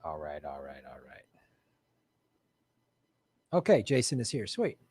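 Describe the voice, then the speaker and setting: A man hosting a live political show from a studio with bright lights, chatting casually and joking in a playful, self-mocking way. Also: low-pitched, wildly swooping pitch, measured pace, breathy edge, no echo. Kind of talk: casual livestream chat.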